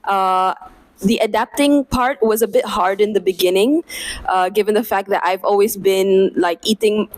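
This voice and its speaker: A woman in her twenties, raised in Malaysia, answering interview questions in a relaxed way with many fillers. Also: mid-range voice, moderate pace, poor audio. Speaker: interviewee, medical student.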